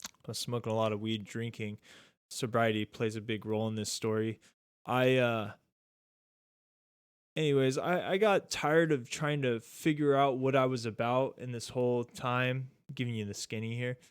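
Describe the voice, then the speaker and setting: A relaxed man in his thirties hosts a podcast, rambling easily and leaning on "like" and "dude" between thoughts. His voice is smooth and resonant at a middle pitch. The sound is close and dry, with no room around it. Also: normal speed, no room sound. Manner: laid-back, rambling, says "dude" and "like" a lot. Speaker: podcast host. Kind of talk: casual chat.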